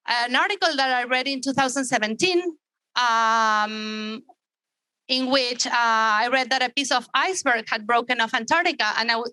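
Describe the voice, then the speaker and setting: Bright-voiced woman, dry sound. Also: on the high side, clean tone, no echo. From Argentina. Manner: reflective and explanatory, with fillers and restarts. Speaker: exhibiting artist. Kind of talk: explaining her artwork on a gallery walkthrough.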